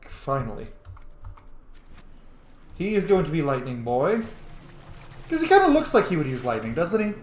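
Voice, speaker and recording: A man's voice: fairly high pitch, sing-song, moderate pace, long pauses, reverberant, slight background noise. A man giving casual, jokey gameplay commentary, swearing freely and talking back to the screen. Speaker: game streamer, let's player.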